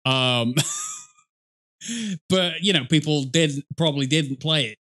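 A man in his thirties reacts to a video game in a fairly high voice. He talks casually and rambles, doubling back to correct himself, with some swearing. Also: takes it slowly, a bit bright. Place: small indoor room at a desk with headset.

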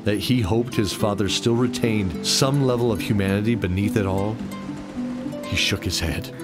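A man's voice: lowish voice, moderate pace. A man giving scripted narration of a fantasy adventure story in an audio drama. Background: music.

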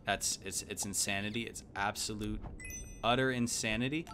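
A man, giving casual, slangy, animated live game commentary. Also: fairly low pitch, normal speed, music in the background. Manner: animated, exclaiming, thinking aloud. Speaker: game streamer. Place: indoor room at home.

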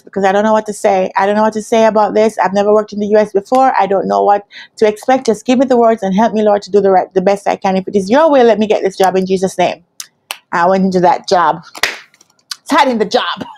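Casual vlog storyteller: Jamaican woman in her thirties, mid-pitched, expressive, acts out the dialogue. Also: fast. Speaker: business analyst trainer telling her career story. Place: quiet indoor room with curtains. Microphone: lapel.

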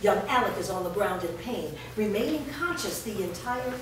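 A woman's voice: medium pitch, expressive, moderate pace. Woman narrating, very echoey and distant. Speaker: stage directions reader. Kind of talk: scripted narration. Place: bare indoor room with small audience. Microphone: far camera. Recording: echoey room, distant mic, noisy.

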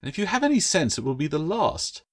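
A teasing, sharp-tongued orphan girl from a fantasy audiobook, played by a man. The voice is pitched well up, loyal and a little worried underneath. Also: sing-song, fast talker.

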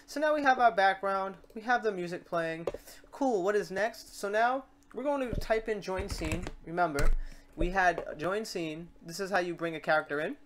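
A tutorial presenter talking informally through software steps and thinking aloud while working. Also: medium pitch. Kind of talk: casual screen-recorded software tutorial.

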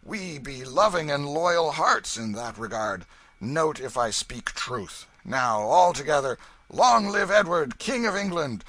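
A man's voice: medium pitch, slow pace, sharp tone, no echo, voice pushed up. A man voicing a burly, blustering but good-natured vagabond chief from a storybook, with commanding archaic speech. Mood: firm, rallying.

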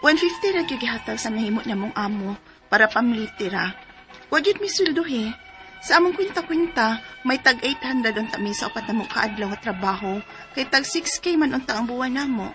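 A woman's voice: fairly high pitch, lively intonation, a little fast, music in the background. An actress with a Cebuano accent playing an aggrieved young nanny who narrates her problem letter in a radio drama. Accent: Cebuano Filipino. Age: young adult.

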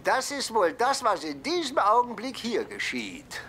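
An actor dubbing an elderly horse-cab driver who slowly reminisces about his working years. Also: high voice, wildly swooping pitch, sharp tone, exaggerated voice. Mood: nostalgic. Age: older. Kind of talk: German dub of a sitcom.

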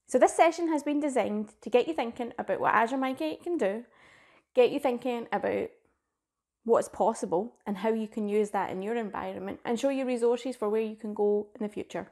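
A woman's voice: fairly high pitch, brisk, darkish tone. Scottish woman, 30s, tech presenter, chatty and keen, informal delivery, webcam in a small room. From Scotland. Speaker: cloud advocate presenting a conference session. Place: small indoor room with a plain wall.

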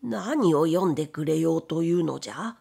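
A stern old master of the house played by a woman narrator from a storybook. He speaks slowly and with authority, in old-fashioned elderly phrasing, brooding as he goes. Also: on the high side, expressive, vocal fry, warm tone, slightly rough, pitched below the performer's own voice, exaggerated voice. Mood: commanding, gruff. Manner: slow, old-fashioned elderly speech, commanding.

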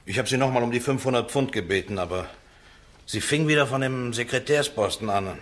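An actor playing a defensive, down-to-earth accused man in a radio play, speaking haltingly and colloquially. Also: mid-range voice, a bit bright, lightly acted. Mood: frank, halting.

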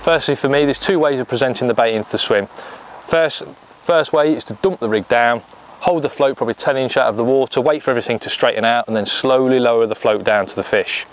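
A man's voice: mid-range voice, quick pace. English man explaining fishing outdoors. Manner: practical, explanatory, step by step. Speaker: angling coach. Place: lakeside bank outdoors.

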